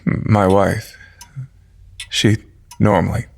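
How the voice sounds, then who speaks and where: A man playing a grieving, distrustful news reporter in an audio drama, speaking in a halting, emotional way. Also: lowish voice, flat delivery, creaky, a little mellow, exaggerated voice. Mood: subdued, halting.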